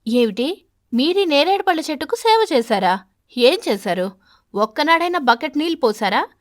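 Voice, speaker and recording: A woman voicing an indignant wife pigeon in an animated story, scolding and firing accusing questions. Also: high-pitched, normal speed, dry recording, studio quality.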